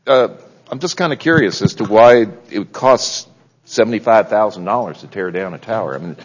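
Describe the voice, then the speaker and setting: A man's voice: fairly low pitch, normal speed. A man speaking as a senator, wry and puzzled as he questions a cost. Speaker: state senator. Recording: roomy lo-fi chamber audio.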